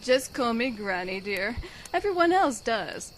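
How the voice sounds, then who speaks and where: An old elven granny who leads mercenaries , acted by a woman, brisk and wry. Her voice sits fairly high and moves around freely in pitch. Crickets and clear background noise surround her in this fantasy audio drama. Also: sing-song. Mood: calm, wry.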